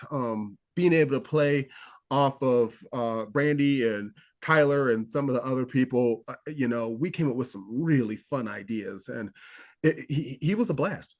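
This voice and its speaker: A man's voice: medium pitch, a little mellow. A man, a guest actor, recalling a film shoot in an interview, enthusiastic and rambling with restarts. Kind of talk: interview recollection.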